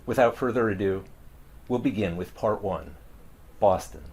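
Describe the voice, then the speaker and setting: A man's voice: lowish voice, normal speed, a little mellow, husky. A man narrating a scripted introduction to a seafaring story in a theatrical, grandiose old-time storyteller manner. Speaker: narrator introducing a table read.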